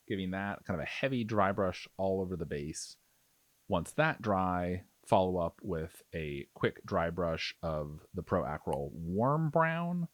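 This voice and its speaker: A man with a low voice hosts a casual tutorial. His intonation moves up and down a lot as he talks through each step. The sound is dry and close, with very little room. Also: no echo. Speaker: miniature painting tutorial host. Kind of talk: casual hands-on tutorial narration.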